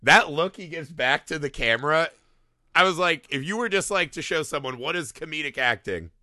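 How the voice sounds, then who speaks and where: A man with a bright, forceful and slightly high voice in a dry, close recording. He co-hosts a casual comedy podcast, swearing freely and ranting, often tossing in mock quotes. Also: expressive, moderate pace, no echo. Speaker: podcast co-host. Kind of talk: casual comedic movie discussion.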